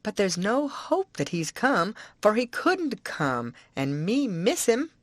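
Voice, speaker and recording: A woman's voice: mid-range voice, big pitch swings, slow pace, dry recording, pitched above the performer's own voice. Woman doing a worried old farmer. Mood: anxious.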